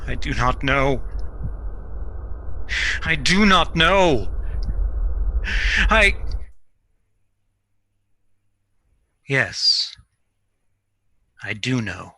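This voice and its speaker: An actor playing a poisoned, dying man haunted by monstrous visions, speaking haltingly and portentously in old time radio drama style. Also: a little high, lively intonation, bright, forceful tone, no echo, heavily acted. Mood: weary despair. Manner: slow, halting, portentous delivery.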